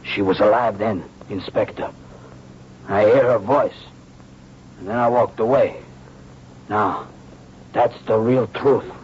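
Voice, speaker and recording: Nervous, low-voiced theater worker. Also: male voice, steady tone, a little mellow, husky, heavily acted. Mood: defensive confession. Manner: halting, plain-spoken working man. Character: nervous, defensive theater worker under questioning. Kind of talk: radio drama acting. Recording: dull, noisy old radio recording.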